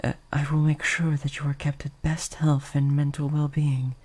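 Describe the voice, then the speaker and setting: Someone acting out a breathy, soft-spoken, courtly vampire. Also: medium pitch, little pitch movement, moderate pace, close to an everyday voice. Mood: pleading, devoted. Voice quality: soft, breathy, often dropping toward a whisper. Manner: formal, old-fashioned, hesitant, earnest. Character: gentle, courtly, lovesick, possessive vampire pleading with a donor. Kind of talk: soft-spoken intimate audio roleplay monologue.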